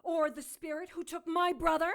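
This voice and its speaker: A woman's voice: extremely high pitch, flat delivery, normal speed. An actress playing a defiant female occult doctor held captive in a radio drama, answering sharply.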